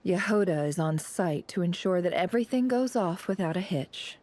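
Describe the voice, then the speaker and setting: A woman's voice: lowish voice, animated, moderate pace, a little mellow, slight background noise, natural voice. A woman playing a cool, shrewd, composed businesswoman in an anime game, with a dry manner. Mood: assured. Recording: faint music behind the voice.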